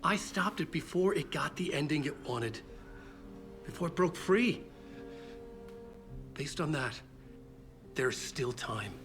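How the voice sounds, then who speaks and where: An actor playing a haunted, desperate writer in a video game, speaking in a troubled way. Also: male voice, fairly high pitch, animated, a bit bright, soft background music, full character voice. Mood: urgent, troubled. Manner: halting, troubled, repeats words. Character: haunted, desperate male writer escaped from a nightmare. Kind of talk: dramatic video game acting, narration and dialogue.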